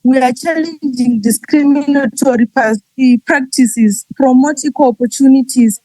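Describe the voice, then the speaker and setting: Mellow, dry-voiced woman listing programs. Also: sits high, normal speed, no echo, rough recording. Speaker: panel guest, nonprofit founder. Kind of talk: summarizing her program's work.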